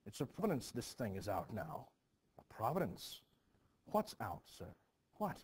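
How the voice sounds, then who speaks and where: A man's voice: on the low side, big pitch swings, unhurried, breathy edge. A man reading a stage play aloud as a teacher, acting out the parts expressively in old-fashioned phrasing. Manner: archaic phrasing, acting out several characters.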